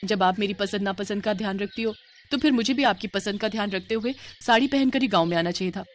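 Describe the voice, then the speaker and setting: A woman's voice: mid-range voice, fast, slight background noise, lightly acted. Casting: modern young wife for cartoon family drama, sweet and self-assured played by a woman. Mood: apologetic, sweet. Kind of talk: animated moral story dialogue.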